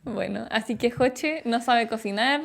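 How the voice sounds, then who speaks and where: A woman's voice: fairly high pitch, a little slow, creaky, a little hiss or hum. A woman in her late twenties with a Chilean accent, explaining things reflectively in a casual chat as a podcast guest. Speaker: podcast guest, clinical psychologist.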